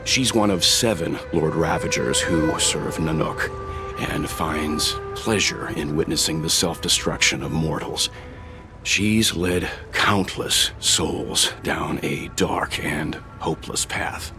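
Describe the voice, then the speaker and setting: A man playing a calm, learned middle-aged adviser in a game, explaining things in a grave, measured way. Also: a bass voice, faint music behind, exaggerated voice. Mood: grave, thoughtful. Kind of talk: dramatic video game acting.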